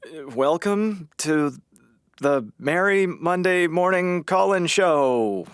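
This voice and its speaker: An actor playing a weary, sarcastic small-town radio host with a halting, dry delivery in a comedy audio drama. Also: male voice, on the high side, lively intonation, clear, resonant tone, no echo. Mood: awkward, flustered. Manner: halting, dry, sardonic, awkward.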